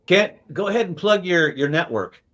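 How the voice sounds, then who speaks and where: Slightly high-voiced man, folksy sports host. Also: expressive, moderate pace, a bit bright. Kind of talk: casual sports chat.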